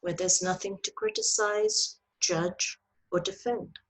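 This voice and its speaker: A woman guides a meditation in a soft, husky voice. Her delivery is very unhurried and gentle, leaving long pauses between phrases. Her intonation rises and falls widely as she speaks over a video call. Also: mid-range voice, very animated. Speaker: meditation teacher leading a yoga nidra. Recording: video call audio with clicks.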